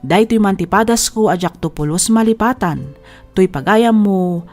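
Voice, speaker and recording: A woman's voice: mid-range voice, moderate pace, a little mellow, close to an everyday voice. A woman playing a reflective, grateful storyteller, narrating an audio drama in the first person. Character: reflective, grateful woman living with long illness. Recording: music bed under the voice.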